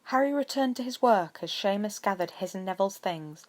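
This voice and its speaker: A woman's voice: medium pitch, expressive, fairly quick. A woman reading scripted story narration as an amateur audiobook narrator.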